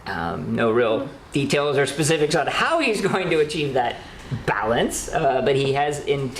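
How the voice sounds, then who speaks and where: Animated man co-hosting in an echoey room. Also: mid-range voice, measured pace. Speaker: co-host of a horror news show. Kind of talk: casual news chat. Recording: roomy echo with faint noise.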